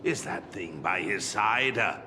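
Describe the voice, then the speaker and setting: An actor voicing a wry, dignified storyteller character in a video game, with a measured, theatrical, dry manner. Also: male voice, lowish voice, lively intonation, in a large hall, noisy background. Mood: measured, narrating.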